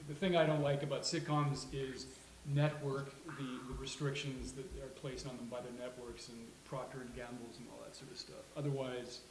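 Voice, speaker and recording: Male actor in casual discussion, mid pitch, halting and wry, roomy live recording with hiss. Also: little pitch movement. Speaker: actor discussing a table read. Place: live venue with a small studio audience. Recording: echoey room with hiss.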